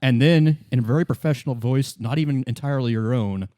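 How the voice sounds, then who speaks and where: A man running a tabletop roleplay as game master, improvising the narration and haltingly thinking aloud. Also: mid-range voice, mellow, dry recording, clean studio sound.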